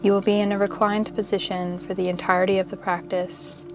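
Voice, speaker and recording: A woman leading a guided meditation in a soothing, measured, unhurried manner, pausing between short phrases of instruction. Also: mid-range voice, little pitch movement. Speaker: yoga nidra meditation guide. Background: meditation music.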